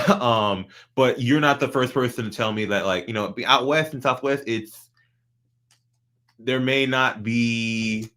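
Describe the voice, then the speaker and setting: A man's voice: medium pitch, takes it slowly, a bit bright. A man from North Carolina, hosting a casual interview in a thoughtful way, with many restarts. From North Carolina, USA. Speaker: host.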